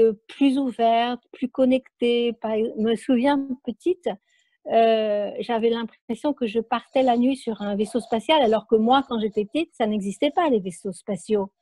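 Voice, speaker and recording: A woman in her late sixties from Paris with a Parisian French accent, a guest speaking softly and hesitantly about her spiritual path in a remote interview. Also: fairly high pitch, no room sound. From France, Paris. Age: 60s. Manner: soft, hesitant, many fillers and repeats. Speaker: guest author.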